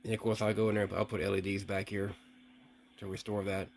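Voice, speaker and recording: A man's voice: lowish voice, level tone, fast, gravelly, a little hiss or hum. A man giving a casual, unscripted hands-on demonstration, thinking aloud with some hesitation. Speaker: vlogger testing vintage CB radios.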